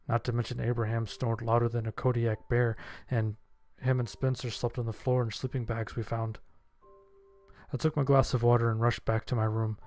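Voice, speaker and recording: A man's voice: mid-range voice, a little mellow. Male horror narrator over faint music. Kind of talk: scripted horror story narration in first person.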